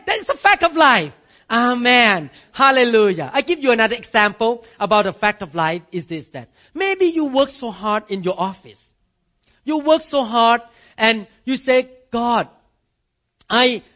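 A man's voice: very high voice, fairly bright tone, highs cut off. A man from Thailand with a Thai accent, preaching a sermon with emphatic swings of voice and calls of amen. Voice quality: non-native grammar. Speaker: pastor.

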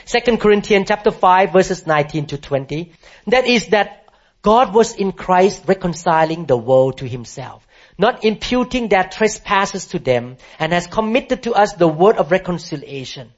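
A male pastor preaching a sermon to a congregation, emphatic and rising to high, impassioned declarations, calling for responses from the room. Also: animated, normal speed. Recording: muffled lo-fi sermon recording.

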